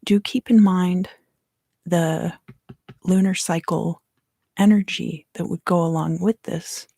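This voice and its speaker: A woman reads tarot in an ASMR style, slipping between soft speech and whisper. Her voice is lowish and breathy with a gravelly fry. She speaks in measured phrases with deliberate pauses. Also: steady tone, no room sound, studio quality. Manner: hushed, drifting in and out of whisper. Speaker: tarot reader and ASMR host.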